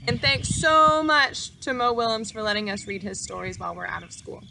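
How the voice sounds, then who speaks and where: Bright, high-voiced woman reading to children. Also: lively intonation, brisk, creaky, a little hiss or hum. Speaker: teacher reading a picture book aloud. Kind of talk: friendly read-aloud for young children.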